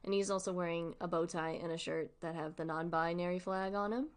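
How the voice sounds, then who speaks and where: A woman's voice: on the low side, fairly even intonation, a little fast. A woman streamer giving casual livestream commentary in an informal, rambling, giggly way.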